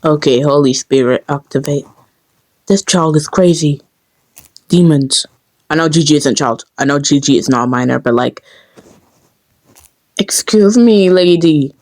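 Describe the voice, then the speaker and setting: A woman's voice: low-pitched, unhurried, long pauses, a little mellow, no room sound. A woman chatting casually behind the scenes as a dub creator, rambling and talking to herself. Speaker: creator dubbing a comic.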